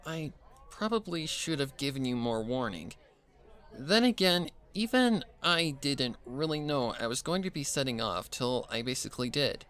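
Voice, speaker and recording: A man's voice: medium pitch, lively intonation, moderate pace, a bit bright, clear, resonant tone, close to an everyday voice. A man voicing a bubbly young female pony in a story, an eager, apologetic sister who rambles. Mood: sheepish.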